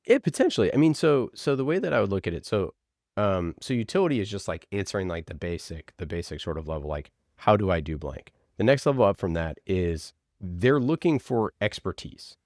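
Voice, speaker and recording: A man with a low voice explains ideas as an interview guest. He speaks informally and haltingly, working through examples aloud. The sound is dry, with very little room in it. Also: animated, darkish tone, no room sound. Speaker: interview guest, podcast producer. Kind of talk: conversational explaining.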